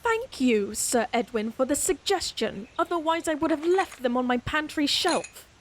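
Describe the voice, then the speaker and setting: A woman's voice: sits high, lively intonation, normal speed, a little hiss or hum. A woman playing a proud, indignant lady knight in a fantasy audio roleplay, haughty and formal with a cutting tongue. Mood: indignant sarcasm.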